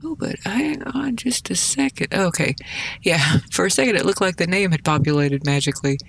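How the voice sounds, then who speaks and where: A gaming host with a creaky, fry-laden voice talks casually through a puzzle. The delivery rambles and mutters with gentle self-mockery, over a faint bed of noise. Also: medium pitch, expressive, moderate pace. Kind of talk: casual gameplay commentary, thinking aloud.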